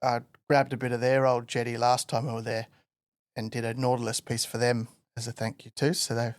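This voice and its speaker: A man's voice: mid-range voice, fairly quick, dry recording. A man from Western Australia, a guest artist telling his story in a casual podcast interview, loose and full of fillers. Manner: relaxed rambling storyteller with many fillers.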